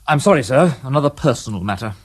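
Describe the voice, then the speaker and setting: A man's voice: mid-range voice, expressive, fast talker, close to an everyday voice. An actor playing a sardonic British police inspector in a radio crime drama, dry and mock-polite in his questioning. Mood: harried. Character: sardonic male detective inspector, dogged and wry.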